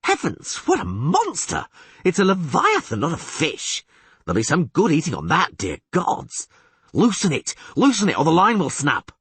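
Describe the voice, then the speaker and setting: A man voicing an excitable, boastful young male bard who exclaims loudly and breathlessly in an audiobook. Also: a little high, normal speed, husky, dry recording, pitched above the performer's own voice, exaggerated voice. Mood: excited. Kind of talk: audiobook character voice.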